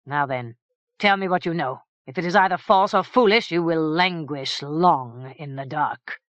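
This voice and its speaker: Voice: mid-range voice, moderate pace, a bit bright, no room sound, muffled recording, natural voice. An audiobook narrator voicing a harsh, menacing middle-aged king who speaks in cold, curt threats.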